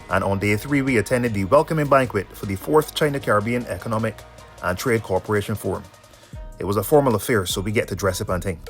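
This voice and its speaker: A man in his thirties from Barbados with a Bajan accent, talking casually about his travels in a laid-back, colloquial way. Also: medium pitch. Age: 30s. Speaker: travel vlog host. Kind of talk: casual travel narration and interview answers.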